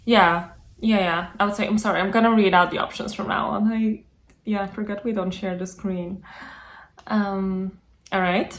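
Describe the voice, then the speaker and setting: A woman's voice: mid-range voice, fairly even intonation, moderate pace. A woman hosting a casual interview over a video call, chatty and filling her talk with yeah and like. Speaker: host.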